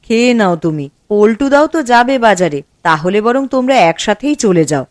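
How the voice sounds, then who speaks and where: A woman voicing a caring young village wife in a cartoon, speaking in a soothing, gentle way. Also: medium pitch, animated, a little fast, dry recording, studio quality, natural voice. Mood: calm.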